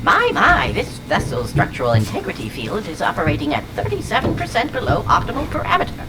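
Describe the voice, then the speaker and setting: A woman's voice: fairly low pitch, wildly swooping pitch, brisk, loud music behind the voice. A woman playing a whimsical, unhinged sentient artificial mind in an audio drama, speaking in a theatrical, eccentric way. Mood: manic musing. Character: whimsical, unhinged sentient shard voice inhabiting a robot.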